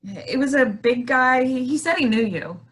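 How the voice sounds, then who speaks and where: A woman in a staged play reading as a shaken, soft-hearted wife, speaking haltingly and repeating words. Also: high-pitched, expressive. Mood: earnest.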